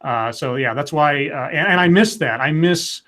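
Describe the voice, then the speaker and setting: A man in casual discussion on a comics show, emphatic and halting as he thinks aloud. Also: a little high, sharp tone. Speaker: panelist on a comics livestream.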